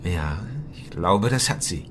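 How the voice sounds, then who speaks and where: An actor playing a courteous, curious, slightly reserved gentleman in a German radio drama, with polite, formal speech. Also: deep voice, lively intonation, slow pace, exaggerated voice. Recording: clear background ambience noise.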